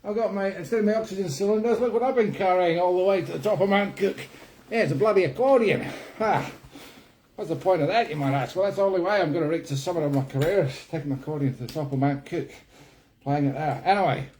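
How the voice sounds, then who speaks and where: Man putting on a high-ish, wobbly Kiwi-Aussie mountaineer. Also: darkish tone, a little hiss or hum, natural voice. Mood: rambling, comic. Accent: attempted New Zealand, sounding Australian. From Scotland. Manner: rambling, self-mocking, chuckling, halting. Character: jokey male mountaineer with a wobbly put-on antipodean accent. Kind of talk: comic character monologue to camera. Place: kitchen in a house.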